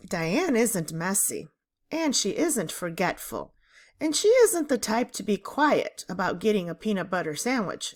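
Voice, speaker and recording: Female narrator for children's chapter book. Unhurried delivery, highly varied intonation, expressive storyteller. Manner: deliberate, animated storytelling. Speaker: read-aloud narrator.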